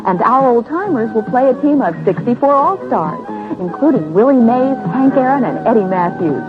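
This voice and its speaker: A woman's voice: on the high side, expressive, moderate pace. A woman reading a scripted 1970s radio advertisement as an announcer. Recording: tinny vintage radio ad over music. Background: music.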